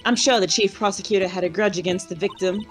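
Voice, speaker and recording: A woman's voice: mid-range voice, fairly even intonation, fast, soft background music, close to an everyday voice. A woman playing a haughty, theatrical lunch lady witness who talks down to others in a courtroom game dub. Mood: smug, dramatic. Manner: condescending, storytelling. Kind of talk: live fan dub of a courtroom video game.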